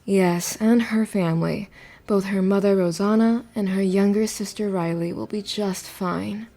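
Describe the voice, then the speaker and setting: Woman playing a gentle mother, medium-pitched voice. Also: fairly even intonation, unhurried. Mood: soothing, reassuring. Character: gentle, reassuring middle-aged mother. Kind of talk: audio drama dialogue.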